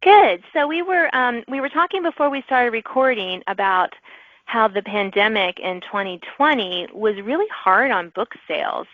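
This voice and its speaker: A woman's voice: on the high side, lively intonation, normal speed, no room sound, highs cut off. A woman hosting an author interview podcast in an informal, chatty, conversational way.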